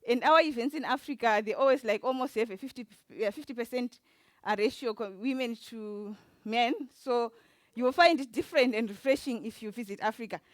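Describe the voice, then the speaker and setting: A woman with a Zimbabwean accent gives an informal conference presentation as an event organizer, speaking haltingly with frequent filled pauses. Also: a little high, no room sound. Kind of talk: informal lightning talk presentation. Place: conference talk before an audience.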